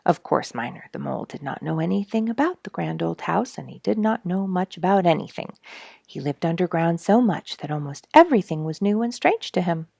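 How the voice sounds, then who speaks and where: Female children's storyteller: low, slightly gravelly, very lively intonation, expressive read-aloud narration. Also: brisk, no echo, studio quality.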